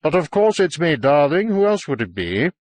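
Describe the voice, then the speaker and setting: A man's voice: lowish voice, sing-song, measured pace, no room sound. A man voicing a stuffy, self-satisfied male partner who talks with brusque, condescending, forced reasonableness in an audio story. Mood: breezy, soothing.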